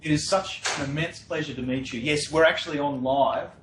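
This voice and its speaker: A man's voice: a little high, steady tone, a little fast, reverberant, background noise. A young man hosting a casual charity livestream, eager and joking, stumbling over his words. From Australia. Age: young adult. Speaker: host.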